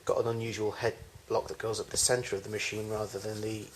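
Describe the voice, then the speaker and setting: A man speaks in a low register with very little rise or fall in pitch. He gives an unscripted commentary, like a collector walking viewers through his things at home. The amateur recording carries a light layer of noise. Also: level tone, fairly quick. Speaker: collector giving a tour of his machines. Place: home garage with loft. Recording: noisy amateur camcorder audio.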